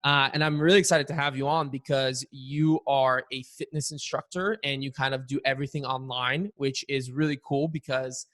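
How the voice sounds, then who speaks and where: A man's voice: medium pitch, fairly even intonation, normal speed, hardly any pauses, a bit bright, dry recording, clean studio sound. A young man, born in Bulgaria, hosting a podcast interview, upbeat and chatty with lots of "like" and "uh". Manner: upbeat, chatty, many fillers like "uh" and "like". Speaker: podcast host. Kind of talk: casual interview chat with ad read.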